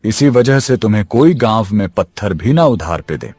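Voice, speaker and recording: A man's voice: lowish voice, expressive, normal speed, darkish tone, no room sound. A man playing a boastful, spiteful younger brother and village chief in a cartoon, haughty and taunting. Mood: smug, scornful. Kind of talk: Hindi cartoon story acting. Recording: music behind the voice. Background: music.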